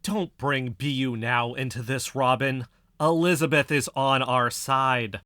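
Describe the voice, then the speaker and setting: A man's voice: medium pitch, fairly even intonation, unhurried, pushed and bright, dry recording, studio quality. A man acting as an insistent, stubborn conspiracy theorist in an audio drama, speaking with emphatic conviction. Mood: defensive, irritated. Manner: emphatic, insistent, building speeches. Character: impassioned male conspiracy theorist, defensive and stubborn. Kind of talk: scripted audio fiction dialogue.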